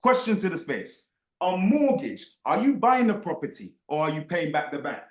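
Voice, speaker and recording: A man's voice: a little high, very animated, moderate pace, reverberant. A man hosting a live online group discussion, speaking emphatically and insistently and repeating phrases for effect. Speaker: host of an online book club discussion.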